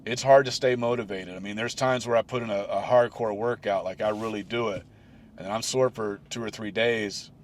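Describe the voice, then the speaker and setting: 40s guy musing on his podcast, lowish voice, keeps restarting, faint car hum. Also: steady tone, moderate pace. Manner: rambling, many restarts and fillers. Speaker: podcast host. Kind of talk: solo reflective podcast talk.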